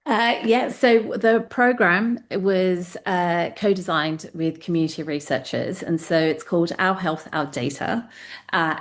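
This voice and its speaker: A woman who leads a community research project, speaking on a webinar panel in a measured way with frequent fillers. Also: low voice. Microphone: video call.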